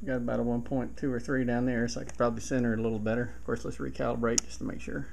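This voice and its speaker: A man's voice: mid-range voice, level tone, racing, vocal fry, darkish tone. A man giving an informal hands-on tutorial as a radio hobbyist, recorded indoors at a home bench. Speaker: hobbyist demonstrating CB radio gear. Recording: noticeable background hiss and radio static.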